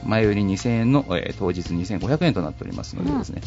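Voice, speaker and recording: A man's voice: sits low, very animated, fast, creaky, darkish tone. A man hosting a radio show, chatting casually and reading out announcements. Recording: muffled recording with music behind.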